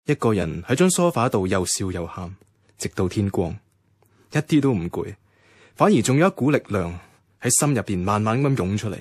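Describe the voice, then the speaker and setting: Husky, expressive young Hong Kong man. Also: fairly low pitch, moderate pace, dry recording. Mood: moved. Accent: Hong Kong Cantonese. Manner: introspective. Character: emotional, newly inspired young man narrating a letter. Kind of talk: radio drama narration.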